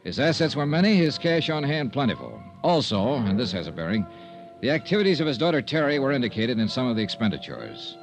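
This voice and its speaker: An actor playing a dry, persistent insurance investigator, clipped and understated, in an old-time radio noir drama. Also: male voice, mid-range voice, very animated, fast, soft background music. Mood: dry, narrating. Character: dry, persistent male insurance investigator narrating his case. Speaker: detective lead and narrator. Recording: vintage radio drama recording.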